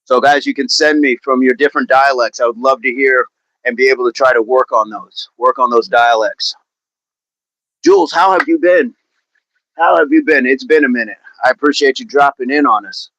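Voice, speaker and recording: A man's voice: mid-range voice, normal speed, fairly bright tone, no room sound, low-quality recording. Upbeat chatty man on a dry mic. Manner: chatty, upbeat, laughs often, repeats phrases. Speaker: fitness livestream host. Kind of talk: casual livestream chat answering viewer comments.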